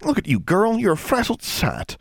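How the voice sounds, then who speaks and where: A prim teenage country girl played by a male narrator fusses. The pitch is pushed well up and swoops about as she scolds, in a dry audiobook recording. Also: big pitch swings, normal speed, no echo. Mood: scolding, alarmed. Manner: shocked, fussing, folksy.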